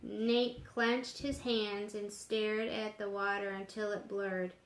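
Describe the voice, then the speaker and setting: Slow-reading woman storyteller in an echoey room. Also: mid-range voice, little pitch movement. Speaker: children's story reader. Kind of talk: reading a children's novel aloud.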